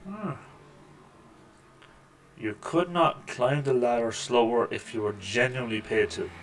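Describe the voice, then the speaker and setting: A man with a deep voice hosting a casual let's-play, making wry, joking remarks over the gameplay at a desk microphone. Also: animated, a little slow, slight background noise. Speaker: gaming channel host. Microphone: desk microphone with mixer.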